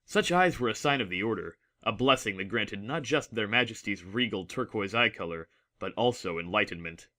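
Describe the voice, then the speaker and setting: A man's voice: on the low side, lively intonation, moderate pace, hardly any pauses, sharp tone. A man reading scripted story narration as the narrator of a dramatic audiobook.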